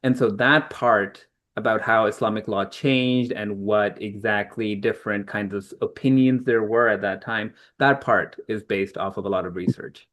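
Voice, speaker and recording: A man's voice: lowish voice, steady tone, normal speed, fairly bright tone. A middle-aged man from Pakistan, an author being interviewed, explaining things thoughtfully with frequent ums and restarts. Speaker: author guest. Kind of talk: conversational interview answers.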